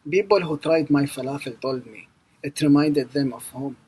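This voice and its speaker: A man from Syria, reading his business pitch carefully in broken English. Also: moderate pace, darkish tone, slightly rough, poor audio. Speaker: entrepreneur pitching. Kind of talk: pitch read from a script.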